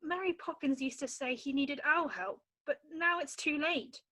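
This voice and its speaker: A woman's voice: high voice, moderate pace, bright, forceful tone. A woman playing an anxious, earnest young girl in an amateur musical read-through, with a childlike manner. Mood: worried, earnest.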